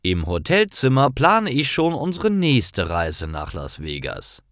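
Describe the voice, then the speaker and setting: A man narrating scripted stories for language learners, reading simple sentences clearly. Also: mid-range voice, huge pitch swings, a little slow, a bit bright, no echo, studio quality.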